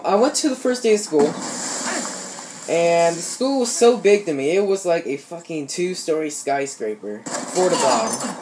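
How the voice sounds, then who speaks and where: A young American man telling casual stories over gameplay, with slangy, swear-heavy talk. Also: on the high side. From Ohio, United States. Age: teenager or young adult. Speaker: gaming YouTuber. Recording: noisy home recording, gameplay sounds behind. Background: shooter game sounds.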